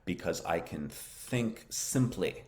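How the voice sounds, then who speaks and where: A deep, low-pitched American man leads a live English lesson, answering viewers' questions as they come in. He talks slowly and encouragingly, repeating his main points so they sink in. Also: animated, a little mellow. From United States. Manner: slow, encouraging, repeats key phrases. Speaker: English teacher.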